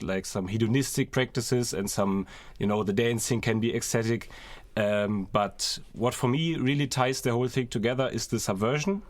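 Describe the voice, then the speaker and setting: A man's voice: fairly low pitch, no room sound. A young man speaking as a student podcast co-host, explaining things in a reflective, conversational way. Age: young adult. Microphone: close podcast microphone.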